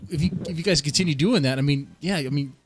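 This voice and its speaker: A man's voice: fairly high pitch, fast. A man hosting a casual panel, informal and encouraging, often repeating himself. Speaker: talk show host. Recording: very noisy call with clicks.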